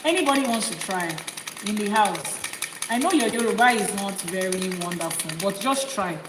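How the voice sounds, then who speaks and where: A woman's voice: mid-range voice, animated, normal speed. A woman, teaching viewers on a live stream as an Ifá priestess, coaxing them with repeated questions and breaking into rhythmic chanted invocations. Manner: rhythmic, rising chant in long litanies. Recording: echoey reverberant room with background noise.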